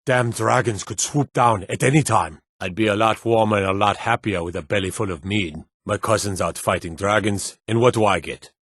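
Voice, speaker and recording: Gruff, low-voiced fantasy city guard. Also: male voice, normal speed, hardly any pauses, fairly bright tone, no echo, clean studio sound. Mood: weary grumbling. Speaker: video game guard character. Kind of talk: video game character dialogue.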